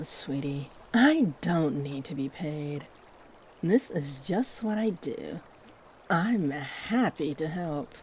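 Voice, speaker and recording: A woman voicing a kindly fairy in a fantasy roleplay, gently encouraging and fussing over the listener with sweet endearments. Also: low-pitched, slight background noise, full character voice. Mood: warm, helpful. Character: kindly, doting fairy who gives magical makeovers. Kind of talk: fantasy roleplay audio drama, addressing the listener.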